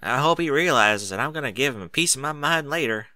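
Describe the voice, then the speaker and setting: A man's voice: mid-range voice, expressive, fast, pushed and bright, no echo, studio quality, pitched above the performer's own voice. A man voicing a folksy, teasing farm mare, a bossy big sister, plain-spoken, in a storybook reading. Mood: annoyed.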